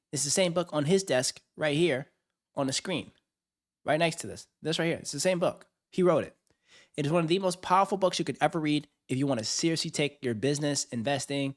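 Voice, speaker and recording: A man hosting a livestream, reacting to a video with hyped, slang-heavy banter and frequent laughs. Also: a little high. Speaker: streamer host. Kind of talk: casual livestream commentary.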